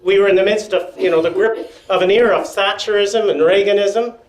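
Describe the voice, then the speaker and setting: Loud, wry older man in echoey room. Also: high-pitched, brisk. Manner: wry, joking asides. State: unwell and dizzy. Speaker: panel speaker. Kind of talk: storytelling at a panel. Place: meeting room.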